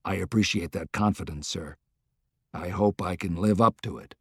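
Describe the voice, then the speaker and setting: A man's voice: low voice, normal speed, a bit bright, slightly rough, no echo, pitched below the performer's own voice, heavily acted. A man playing a reserved, deferential army general in an audiobook, speaking in a terse, subdued, respectful way. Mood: humble, subdued.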